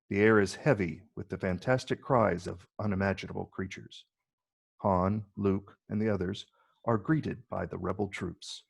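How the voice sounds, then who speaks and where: Man, deep, creaky fry, measured, descriptive, scripted stage-direction narration.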